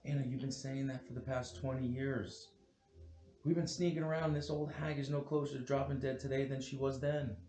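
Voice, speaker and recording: A man playing a scheming, unfaithful husband and impatient lover, melodramatic and halting, at a film script table read. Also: mid-range voice, little pitch movement, mellow, soft background music, lightly acted. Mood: brooding, urgent. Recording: echoey room.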